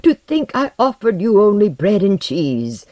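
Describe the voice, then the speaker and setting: A narrator acting out a medieval alchemist in a storybook, intense and courteous. He draws his words out slowly and swings widely in pitch, with grave, impassioned feeling. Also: big pitch swings, dark tone, husky, dry recording, full character voice. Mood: grateful, courteous.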